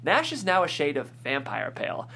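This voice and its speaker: Animated, higher-pitched man reading stage directions. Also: normal speed. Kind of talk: animated scripted narration at a comedy table read. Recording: clear background noise and hum.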